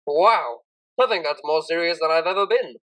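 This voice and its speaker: A woman's voice: sits low, moderate pace, fairly bright tone. A woman voicing a kindly, encouraging male pony tutor with a joking streak in a story reading. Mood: amused.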